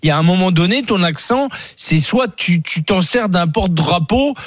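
A man's voice: sits high, lively intonation, normal speed, dry recording, studio quality. A man on a radio panel debating in a casual style.

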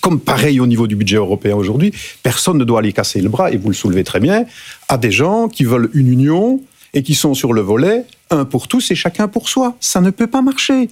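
A man with a husky, slightly grainy voice argues his case on a debate panel. His pitch swings widely as he presses each point, jumping up sharply for emphasis. He speaks emphatically and argumentatively. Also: big pitch swings, a little fast, darkish tone. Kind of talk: televised debate.

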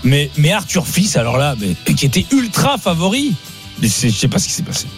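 A man's voice: fairly high pitch, sing-song, a little fast, faint music behind. A man co-hosting an evening radio show, teasing guests and joking with his partner. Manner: teasing, playful, mock-solemn asides. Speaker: radio co-host.

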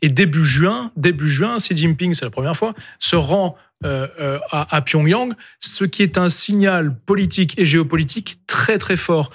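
A man giving analytical commentary as a panelist in a live discussion. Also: on the high side.

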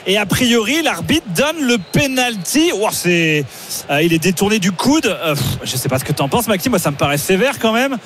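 A man's voice: high voice, very animated, normal speed, a bit bright, slight background noise. A man calling a football match live on the radio, chatty and weighing each call with fillers. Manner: chatty, hedging opinions with fillers. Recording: radio broadcast with music behind.

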